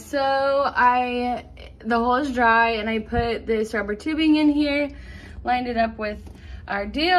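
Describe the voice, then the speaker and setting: A woman's voice: a little high, fairly bright tone. A woman, casually narrating a DIY project in her home kitchen on a handheld phone, rambling with lots of ums and restarts. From Nebraska, USA. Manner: chatty, rambling, many "um"s and restarts. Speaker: farm vlogger. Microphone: handheld phone camera.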